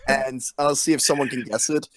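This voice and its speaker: A man's voice: mid-range voice, fairly even intonation, fairly quick. A man chatting casually and enthusiastically about favourite movie quotes, swearing freely as a panelist in a group chat. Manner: profane, enthusiastic, drops into recited movie lines.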